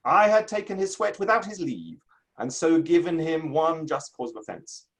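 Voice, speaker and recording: A man's voice: a little high, big pitch swings, normal speed. A man playing an easily affronted Victorian literary man in a play reading, declaiming in grand, formal, old-fashioned phrases. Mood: indignant, proud. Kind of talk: online dramatic play reading.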